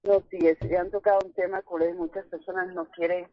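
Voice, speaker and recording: A woman, an English speaker, giving earnest conversational advice as a radio caller, halting and repeating words for emphasis. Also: on the low side, little pitch movement. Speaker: phone-in caller, English teacher. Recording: muffled telephone line on radio.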